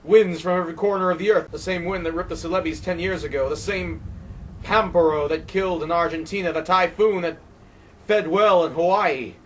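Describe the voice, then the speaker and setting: A man's voice: moderate pace, far from the mic, lightly acted, poor audio. A man voicing a frantic, terrified man who rages and pleads against the wind. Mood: feverish. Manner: shrill, shouting, panicked.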